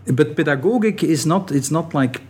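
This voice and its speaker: A middle-aged man, a native Dutch speaker with a Dutch accent, giving thoughtful, halting answers as an academic guest in an interview. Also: a little high, lively intonation, darkish tone, breathy edge. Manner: thoughtful, halting, often restarting phrases. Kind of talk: reflective interview talk.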